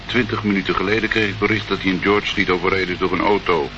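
An actor playing a suave, menacing crime boss in a radio play, speaking with polite veiled threats. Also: male voice, low voice, flat delivery, fast, lightly acted. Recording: muffled, noisy old radio recording.

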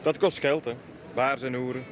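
An actor playing a sceptical young student worker in a radio play, terse and questioning. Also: male voice, medium pitch, steady tone, normal speed, lightly acted. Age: young adult. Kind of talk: 1980s Flemish radio play acting. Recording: radio play with clear outdoor noise.

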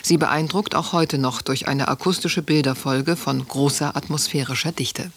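A man works as a radio announcer, reading a scripted introduction. His voice sits a little high for a man. The delivery is formal and measured. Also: steady tone, fairly bright tone, husky.

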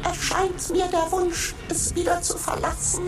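A woman voicing a talking stone that is new to the world, speaking in a measured, musing way in a radio drama. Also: flat delivery. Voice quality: very high, slow and deliberate. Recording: roomy studio with music underneath.

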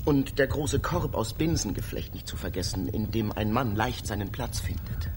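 Radio play casting: male actor for a fervent dreamer and traveller, lowish, highly animated, poetic and theatrical. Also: moderate pace, background noise, natural voice. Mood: pleading. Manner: theatrical, poetic, rapt delivery. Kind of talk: literary radio drama acting.

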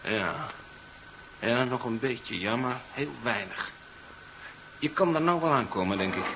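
An actor playing a gruffly stubborn, pragmatic doctor and scientist in a 1970s science fiction radio play, blunt and colloquial. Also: male voice, medium pitch, lively intonation, a little slow, bright, forceful tone. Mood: businesslike. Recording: noisy, dull 1970s radio recording.

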